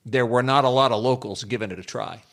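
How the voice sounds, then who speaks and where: Wry older man, mid-pitched. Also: fast, a bit bright. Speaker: interview guest, former comic. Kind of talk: casual chat, reminiscing. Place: studio, small room.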